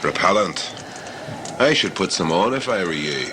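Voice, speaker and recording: Noisy ambience surrounds a low-pitched man acting as a gruff jungle hunter. He is world-weary and cynical, speaking in blunt, clipped phrases as in a sci-fi audio play. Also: lively intonation, moderate pace. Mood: brisk, blunt. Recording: noisy amateur recording with background ambience.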